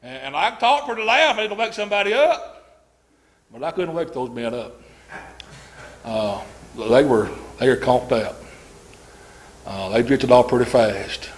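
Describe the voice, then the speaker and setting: A man preaching an informal sermon to his congregation, loud-voiced and folksy, talking in a relaxed conversational way with frequent fillers. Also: mid-range voice, unhurried, pauses often.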